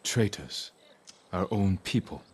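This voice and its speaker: Samurai lord, very deep voice, stern, clipped, formal, game cutscene. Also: male voice, lively intonation, slow pace, a little mellow, slight background noise. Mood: steady. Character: stern young male samurai lord, restrained.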